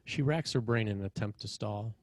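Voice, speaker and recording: A man with a fairly low voice narrates a screenplay. He reads out scene headings and action as scripted narration for a table read. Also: brisk, no room sound.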